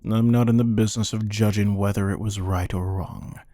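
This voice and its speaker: A man playing a menacing, amused hired killer who taunts a captive in a deadpan, unhurried ASMR roleplay monologue. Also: fairly low pitch, no echo, clean studio sound, lightly acted. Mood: wry, musing.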